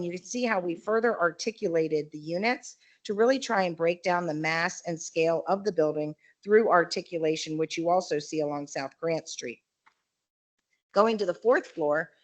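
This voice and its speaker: A woman giving a measured, explanatory presentation at a public county meeting, with frequent fillers. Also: on the low side. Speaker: developer representative presenting a project.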